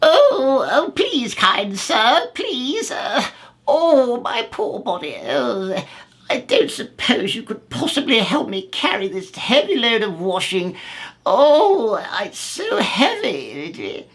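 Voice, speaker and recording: A man's voice: very high-pitched, wildly swooping pitch, takes it slowly, fairly bright tone, husky, voice pushed up, heavily acted. A man voicing a frail old washerwoman from a children's storybook, groaning and pleading plaintively. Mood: weary, pleading.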